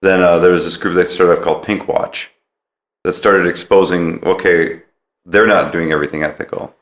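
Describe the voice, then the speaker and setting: Male podcast host, low voice, conversational interviewing, recorded in an echoey room. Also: fairly even intonation, normal speed. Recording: roomy, echoey sound.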